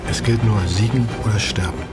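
Man playing imprisoned 1848 revolutionary, lowish voice, earnest, resolute, formal, measured, docudrama, prominent music. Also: lightly acted. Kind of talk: German docudrama acting, letter voice-over. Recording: loud music over the voice.

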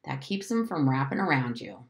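A woman's voice: very deep voice, big pitch swings, moderate pace, reverberant, full character voice. A woman voicing a bossy, boastful young boy, an older brother, scornful and commanding in an audiobook story. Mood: knowing.

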